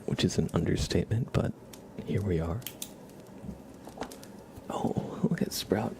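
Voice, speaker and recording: A man hosting a casual ASMR livestream, chatting to viewers in a soft, breathy, whispery voice with an unhurried, rambling manner. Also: sits low. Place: room at home with a window.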